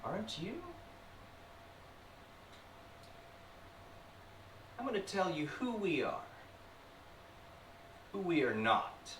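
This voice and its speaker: A man's voice: fairly high pitch, very animated, fairly bright tone. A man playing a sly, taunting demon in a theatrical, sinister monologue spoken to the viewer. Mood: sinister, teasing. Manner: slow deliberate delivery with sinister chuckles and sudden swells. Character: sly, taunting male demon possessing a human, theatrical vlogger. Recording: roomy, distant mic with faint noise.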